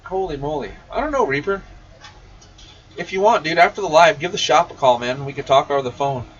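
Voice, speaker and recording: High-voiced man hosting, muffled distant mic. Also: expressive, a little fast. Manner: informal and enthusiastic, peppered with "man" and "dude". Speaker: CB radio shop technician and live stream host. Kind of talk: casual live stream chat, answering questions. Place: CB radio shop.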